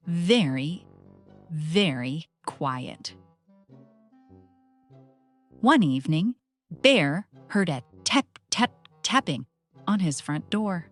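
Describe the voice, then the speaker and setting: A woman with a slightly low voice narrates a children's story. Her delivery is very expressive and unhurried, with soft music playing behind her.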